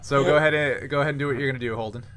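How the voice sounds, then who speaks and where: A man's voice: mid-range voice, fairly even intonation, fairly bright tone. Man game mastering over background noise. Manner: halting, pausing to find words. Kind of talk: casual game mastering of a tabletop sci-fi roleplay.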